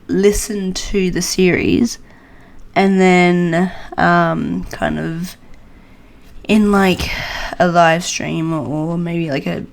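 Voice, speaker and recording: A woman's voice: fairly low pitch, vocal fry. A woman hosting a podcast, chatting casually and informally to her listeners in a hesitant way. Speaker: podcast host.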